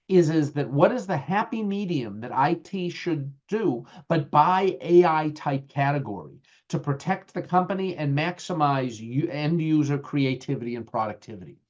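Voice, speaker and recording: A middle-aged man with a Boston accent presenting a webinar in a loose, conversational way, full of fillers and restarts. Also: a little high. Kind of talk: webinar talk with slides. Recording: dull lo-fi webinar audio.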